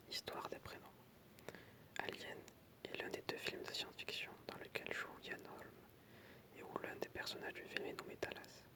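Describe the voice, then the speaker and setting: An ASMR creator whispering in French, reading aloud softly with informal, hesitant asides.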